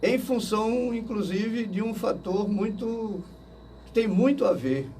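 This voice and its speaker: An older man from Manaus, answering interview questions slowly and hesitantly, with many fillers and restarts. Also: high voice, dark tone. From Manaus, Brazil. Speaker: interview guest. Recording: distant mic with faint noise.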